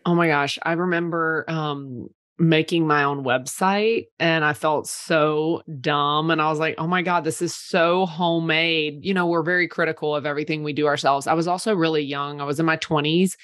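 Chatty low-voiced woman, dry close sound. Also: normal speed, hardly any pauses, dry recording. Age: 30s. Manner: chatty, many "like" fillers, animated storytelling. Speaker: PR company owner, guest. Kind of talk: casual podcast interview.

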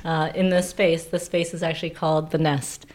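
A woman with a Canadian accent and a deep-set voice talks as an interview guest. Her delivery is measured and reflective. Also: flat delivery, darkish tone. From Vancouver, Canada. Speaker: podcast guest, sound healing center founder. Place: quiet indoor room at her wellness space.

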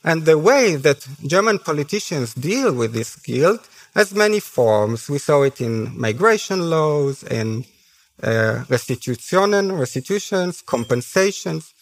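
Higher-voiced man with an Israeli accent. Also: sing-song, measured pace. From Haifa, Israel. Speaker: panel speaker. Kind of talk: personal public speech at a conference. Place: on stage at a conference.

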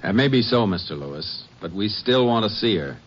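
Man playing a calm, steady Texas Ranger. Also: on the low side, slight background noise, natural voice. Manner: calm, measured. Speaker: narrator and lead. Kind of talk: 1950s radio drama acting. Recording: muffled old radio broadcast.

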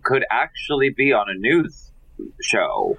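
A man's voice: medium pitch, very animated, slow, vocal fry, fairly bright tone, slightly rough, low-quality recording. A man co-hosting a casual podcast, playing up mock outrage between laughs. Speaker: podcast co-host. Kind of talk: casual recap chat.

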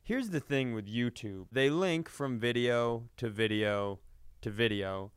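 A man's voice: medium pitch, a little slow, clear, resonant tone, no room sound, clean studio sound. A man co-hosting a comedy podcast, telling anecdotes in a casual, rambling way with some swearing. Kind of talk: casual comedic storytelling chat.

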